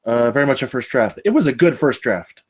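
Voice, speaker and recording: A man with a medium-pitched voice gives informal critique. He talks in a chatty, rambling way and often breaks off to restart his thought. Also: lively intonation, a little fast, a little mellow, husky. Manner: rambling, chatty, self-interrupting. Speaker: table read participant giving script feedback. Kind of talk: casual spoken critique.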